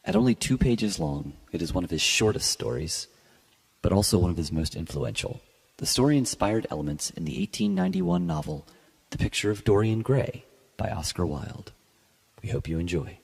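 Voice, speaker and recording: A man giving a dramatic, scripted reading of classic literature as a podcast host, with a gravelly, husky voice and a measured, formal, theatrical delivery. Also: deep voice, very animated. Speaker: podcast host and story reader.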